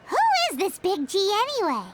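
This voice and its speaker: An actress voicing a tiny, childlike female fairy companion in an anime video game, squeaky and huffy. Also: big pitch swings, normal speed, very noisy. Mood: curious. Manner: squeaky, third-person, huffy.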